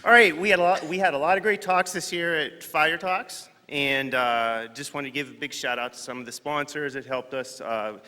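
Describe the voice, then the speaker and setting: A man's voice: a little high, normal speed, hardly any pauses, pushed and bright. A man on stage announcing contest winners, upbeat and joking as he calls out to the crowd. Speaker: contest organizer presenting prizes. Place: large conference hall. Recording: reverberant hall with crowd.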